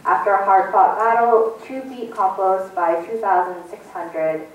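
A woman in her forties whose first language is Tagalog, moderating a community panel on a handheld mic and reading measured remarks from notes. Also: medium pitch, fairly even intonation, distant mic, muffled recording. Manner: clear, measured, reads from notes. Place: community center hall with audience. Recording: echoey hall, voice a bit off-mic.

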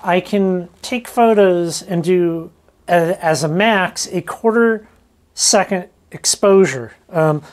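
A woman's voice: lowish voice, slow pace, a little mellow. A woman vlogger giving a casual hands-on demonstration, informally thinking aloud as she goes. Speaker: rockhounding vlogger.

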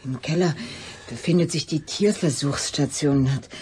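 An actress playing an anxious, confiding young lover in a radio crime drama, speaking intimately and hesitantly. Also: female voice, low-pitched, moderate pace, mellow, slight background noise, close to an everyday voice. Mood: confiding, uneasy.

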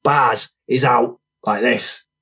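A man's voice: medium pitch, steady tone, takes it slowly, bright, forceful tone, breathy edge, heavily acted. A man voicing a scruffy, raging, boastful ex-con lout who rants in sweary slang for an audio story. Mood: fuming, ranting.

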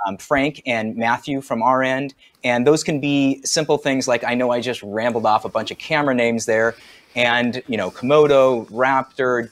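A man in his forties giving an energetic, conversational product demonstration from a home studio over a video call. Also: medium pitch, slight background noise. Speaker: camera company trainer.

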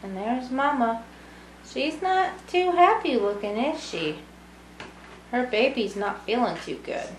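A woman's voice: fairly high pitch, expressive, slow. A woman in her thirties doing a storytime read-aloud, talking simply to young children. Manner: simple, child-directed talk with tag questions. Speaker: student volunteer reading a picture book to children. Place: small room with brick wall, indoors. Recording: echoey room with background noise.

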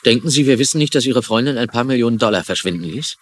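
A man's voice: mid-range voice, expressive, fast talker, a little hiss or hum, close to an everyday voice. A man playing a sarcastic police lieutenant questioning people in a dubbed TV crime drama. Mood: sardonic. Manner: sardonic, interrogating. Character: gruff, sarcastic middle-aged male police lieutenant.

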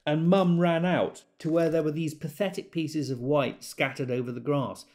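An actor playing a wry British character in an audio drama, telling memories conversationally and quick to exasperation. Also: male voice, a little high, fairly even intonation, darkish tone, lightly acted. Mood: reflective, understated. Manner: conversational, acts out quoted speech. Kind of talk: intimate audio drama monologue.

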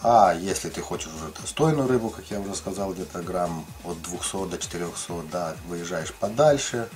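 Low-voiced man, rambling fishing talk. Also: expressive, moderate pace, darkish tone, soft background music. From lived in Ukraine, now in the Russian far north. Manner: rambling, many fillers and restarts. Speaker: ice fishing vlogger. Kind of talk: casual explanatory voice-over.

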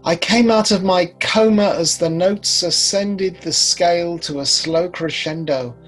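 A man in his sixties playing an older, rueful prophet recalling his past in a measured, reflective dramatic monologue. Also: high-pitched, a bit bright, soft background music, poor audio. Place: home study, indoors. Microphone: video call.

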